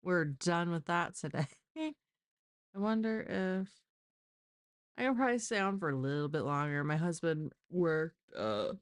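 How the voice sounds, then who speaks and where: A woman with a low voice hosts a casual live stream. She talks informally and gives her opinions freely, and the sound is close and dry. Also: expressive, unhurried, no room sound. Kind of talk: casual chat, true crime commentary.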